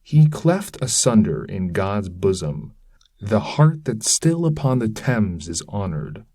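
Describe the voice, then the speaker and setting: Stern centaur escort, slow and grave man acting. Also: on the low side, very animated, mellow, slightly rough, dry recording, studio quality, exaggerated voice. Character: great centaur escort, stern guide to damned tyrants.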